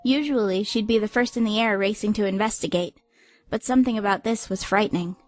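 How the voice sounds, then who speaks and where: A woman's voice: mid-range voice, a little fast, faint music behind. A woman reading scripted, dramatic audiobook narration.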